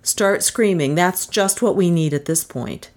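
A woman voicing a calm, dry man in an audiobook story, restrained and subdued. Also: lowish voice, a little slow, close to an everyday voice. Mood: strained calm.

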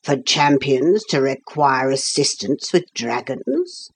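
A woman's voice: very deep voice, animated, takes it slowly, husky, full character voice. A woman voicing a solemn, formal male storybook leader with cold, pompous dignity. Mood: formal, measured. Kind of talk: storybook character voice.

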